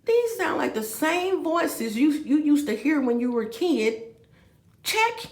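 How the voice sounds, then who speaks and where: A woman's voice: sits high, very animated, a little slow, a little mellow, reverberant. A woman, rambling and talking straight to her viewers with many asides. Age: 50s. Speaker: vlogger. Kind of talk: rambling confessional vlog. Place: room with a bed, indoors.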